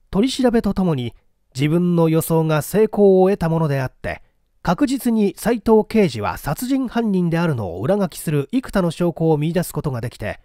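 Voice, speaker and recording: Male audiobook narrator: mellow, slightly high voice, formal and measured delivery of mystery fiction. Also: dry recording, studio quality. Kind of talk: dramatic literary narration of a mystery story.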